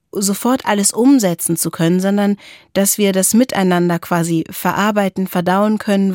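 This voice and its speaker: A woman's voice: medium pitch, darkish tone, no room sound, clean studio sound. A woman co-hosting a conversational discussion, reflective and summarising, with tentative endings to her thoughts. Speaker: podcast co-host.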